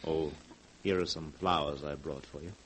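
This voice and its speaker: Smooth mysterious charmer from 40s radio drama, low deep voice, courtly, slightly noisy. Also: male voice, fairly even intonation, fairly bright tone. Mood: suave. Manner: smooth-talking, courtly, deliberate. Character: suave, mysterious, flattering handsome suitor. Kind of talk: 1940s radio drama acting. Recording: noisy vintage radio recording.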